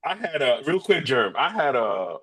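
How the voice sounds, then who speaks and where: A man's voice: medium pitch, very animated, sharp tone, breathy edge. A man in casual podcast chat, reacting to his co-hosts. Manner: reactive, quick interjections. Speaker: podcast co-host.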